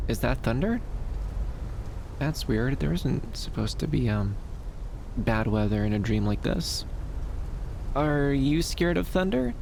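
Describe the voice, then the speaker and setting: A man playing a gentle, shy, dreamy young femboy in an ASMR roleplay, soft-spoken and hesitant, soothing, with lilting upturns. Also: mid-range voice, gravelly, slight background noise. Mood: puzzled, uneasy.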